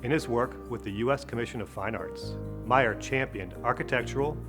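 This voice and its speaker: A man reading scripted documentary narration in a measured, formal way. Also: medium pitch. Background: guitar music.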